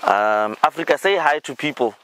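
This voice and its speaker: A man's voice: on the high side, expressive, moderate pace. A man hosting a vlog, casually walking through an outdoor campsite and explaining it with easy fillers, recorded on a handheld camera outdoors. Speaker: vlog host. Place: outdoor campsite in dry bush. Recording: outdoor camera audio with clear noise.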